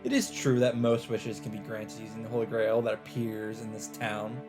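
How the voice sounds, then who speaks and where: A man reading a visual novel aloud as a let's play host, in dramatic, expressive narration with deliberate phrasing and pauses. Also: fairly low pitch. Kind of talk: dramatic narration read aloud from on-screen text. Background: background music.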